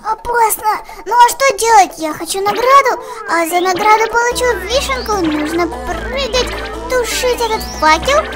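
A woman's voice: extremely high pitch, animated, moderate pace. A woman hosting a children's let's play with childlike exclamations, voicing the game's characters as she comments. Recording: music behind the voice. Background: music.

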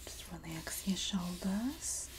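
A woman playing a sassy, supportive Jersey girl in a soft-spoken, whispered ASMR roleplay, with a New Jersey accent and a gossipy, soothing manner. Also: lowish voice, background noise. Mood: soothing, reassuring. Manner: gossipy, flattering, soothing, drawn-out delivery. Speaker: ASMR performer.

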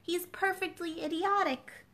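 A woman's voice: extremely high pitch, steady tone, unhurried, pushed and bright, pitched above the performer's own voice, heavily acted. A woman reading a storybook aloud as a curious, polite young girl who is easily exasperated.

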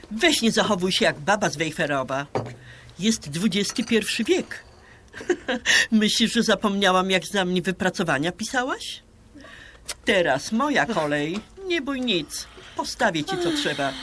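A woman's voice: medium pitch, a bit bright, slight background noise. An actress in a radio drama playing a brash, flirtatious Polish woman around sixty who banters and peppers her Polish with English words.

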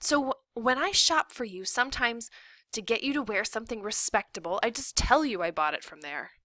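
A woman's voice: fairly high pitch, animated, brisk, sharp tone, no room sound, pitched above the performer's own voice. A woman voicing an exasperated, firm mother in a story, speaking sternly and emphatically. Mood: firm explaining.

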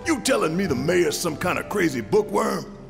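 An actor playing a big, hot-headed, suspicious rebel fighter in a video game, gravelly and blunt. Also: male voice, high voice, expressive, normal speed, exaggerated voice. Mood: incredulous. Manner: blunt, confrontational. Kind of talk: video game cutscene acting. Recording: reverberant hall, music behind.

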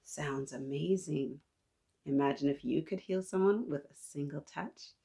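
A woman's voice: low-pitched, measured pace, vocal fry, mellow, slightly rough. A woman in her thirties hosting a children's storytime, reading aloud expressively and chatting with young listeners as if they answer. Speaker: children's storytime host and reader.

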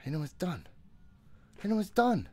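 A man's voice: high-pitched, big pitch swings, moderate pace, darkish tone, slight background noise. A man in his thirties giving casual live commentary as he plays a horror game, jumpy and swearing freely as he talks to himself. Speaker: video game streamer. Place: small room at home. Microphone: desk microphone close to the mouth.

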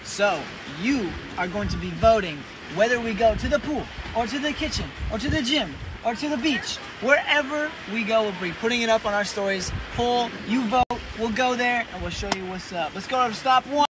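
A young man giving a playful, excitable house tour, recorded on a phone held at arm's length. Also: very high voice, normal speed, hardly any pauses, background noise. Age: young adult. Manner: excitable, playful, swings between loud and hushed. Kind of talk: casual selfie vlog. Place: outdoors and in rooms of a hillside island house.

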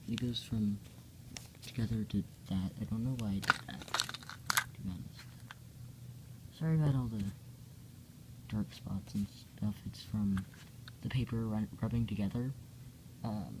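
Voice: mid-range voice, noisy background. A person reading a comic aloud casually, with hesitant, self-correcting and apologetic asides, recorded on a handheld device at home. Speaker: storyteller reading own hand-drawn comic. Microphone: handheld phone or camera pointed at pages.

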